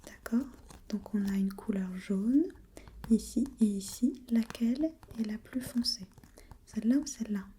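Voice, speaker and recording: A woman whispering breathily in an ASMR role-play as an examiner, gently asking step-by-step questions and giving short encouragements. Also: a little high, measured pace, a little hiss or hum.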